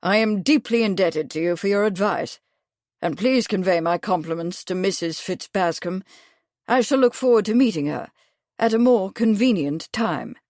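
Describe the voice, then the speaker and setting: Brusque, forceful company boss, stubborn, voiced by a woman narrator, dry and close. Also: medium pitch, lively intonation, normal speed, no echo, close to an everyday voice. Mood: curt. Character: brusque male company manager, stubborn and fierce.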